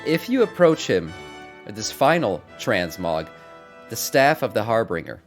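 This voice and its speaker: Male gaming streamer, low register, chatty and emphatic lore breakdowns, music under the voice. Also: sing-song, unhurried. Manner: conversational, emphatic, repeats phrases for stress. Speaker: gaming content creator and streamer. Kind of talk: casual game lore commentary.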